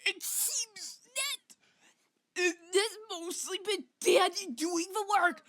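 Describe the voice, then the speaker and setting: Young female amateur comic-dub narrator; theatrical delivery, strikingly high voice, slow pace. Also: lively intonation, a bit bright, no room sound, clean studio sound. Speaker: amateur voice-over reader. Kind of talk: dramatic scripted comic narration.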